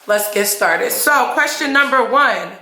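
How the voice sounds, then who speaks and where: A woman's voice: a little high, expressive, measured pace, echoey room, crowd noise, poor audio. Young woman host, under the weather. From Philadelphia, USA. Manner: upbeat, chatty, asks questions directly. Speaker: host and moderator. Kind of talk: casual video intro and panel moderating.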